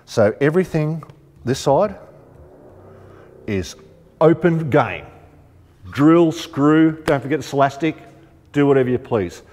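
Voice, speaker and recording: Aussie guy in his 50s showing off tent gear, lowish voice, big warehouse echo and faint music behind. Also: a little mellow. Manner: chatty, practical, thinks aloud with frequent ums. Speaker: shop presenter demonstrating rooftop tents. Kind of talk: casual product demonstration. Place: large warehouse showroom indoors.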